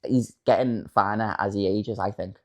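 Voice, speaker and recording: A man's voice: fairly low pitch, steady tone, moderate pace. A man in his late twenties co-hosting a podcast, talking in an earnest, opinionated way. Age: late 20s. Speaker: podcast co-host. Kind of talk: casual chat.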